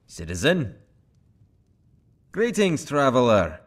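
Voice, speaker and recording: A man's voice: medium pitch, huge pitch swings, slow pace, heavily acted. A man voicing a formal town guard who greets travelers in a fantasy video game. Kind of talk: video game character acting.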